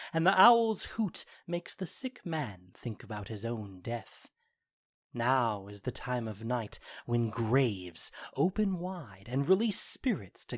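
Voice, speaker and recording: Man acting mischievous fairy spirit, deliberate storyteller, animated melody, dry close sound, audio drama. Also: mid-range voice, no echo. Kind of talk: audio drama verse monologue in modern English.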